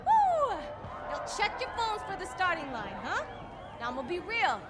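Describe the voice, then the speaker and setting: A brash female street race organizer from a game, swaggering as she works the crowd. Her very high voice swings animatedly over a loud cheering crowd. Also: huge pitch swings, moderate pace, over music, heavily acted. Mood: hyped up. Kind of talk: video game voice acting.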